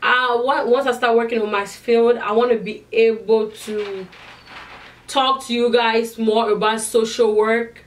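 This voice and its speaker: A woman's voice: on the high side, fairly even intonation, unhurried, recorded from a distance, slight background noise. Chatty accented young woman vlogger. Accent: non-native English accent. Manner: chatty, addresses viewers directly, frequent restarts. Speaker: YouTuber answering viewer questions. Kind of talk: casual Q&A vlog. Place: indoors, in front of a sequined backdrop.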